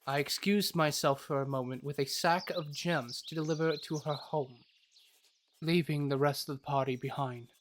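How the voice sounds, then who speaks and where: A man's voice: a little high, steady tone, normal speed, a little hiss or hum, lightly acted. A man playing a jovial, teasing adventurer who also narrates in a fantasy audio roleplay. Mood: calm narration. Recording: music behind the voice.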